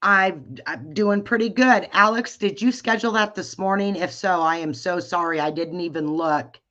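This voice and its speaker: A woman with a deep voice hosting a casual live chat, talking hesitantly with many restarts. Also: normal speed, a bit bright, dull audio. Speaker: livestream host.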